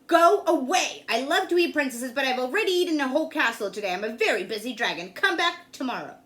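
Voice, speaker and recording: A woman voicing a boastful, busy male dragon in a storybook, talking loudly and in a rush. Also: sits high, animated. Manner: loud, boastful, rushed.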